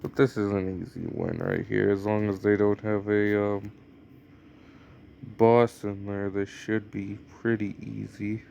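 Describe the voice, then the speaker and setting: A young American man with a slightly low voice rambles through his gameplay at a slow, unhurried pace. He hesitates often, filling gaps with ums and trailing off. A faint background hum sits under his voice. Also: level tone, gravelly, a little mellow, highs cut off. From United States. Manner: rambling, hesitant, many fillers. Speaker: gameplay commentator. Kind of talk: casual gameplay commentary.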